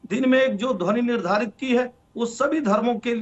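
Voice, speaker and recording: A high-pitched man speaks as a political spokesman. His delivery is formal and emphatic, and the remote feed sounds dull and narrow. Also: normal speed. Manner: emphatic, declarative. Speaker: political party spokesman, debate guest.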